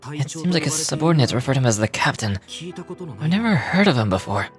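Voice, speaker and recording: A woman's voice: extremely low pitch, very animated, quick pace, music in the background, pitched below the performer's own voice. A woman voicing a wary, curious scout in a game, musing in puzzlement. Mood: puzzled.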